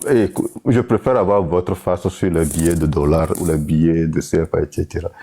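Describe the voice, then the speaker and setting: A man's voice: low-pitched, steady tone, brisk, warm tone. A young man with a Togolese accent giving an earnest, casual tribute, dropping in English connecting words. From Togo. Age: young adult. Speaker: participant and moderator in a live online panel.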